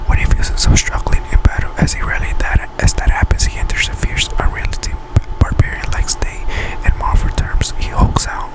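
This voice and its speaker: Male ASMR host, whispered throughout, breathy and unvoiced, reading facts with halting, self-correcting delivery. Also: poor audio. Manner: halting delivery, stumbles and repeats words while reading. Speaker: ASMR video creator and host.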